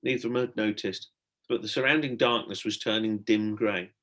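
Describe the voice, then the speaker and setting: A man's voice: lowish voice, steady tone, fairly quick, a bit bright. Man narrating a children's storybook expressively. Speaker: primary school teacher reading aloud.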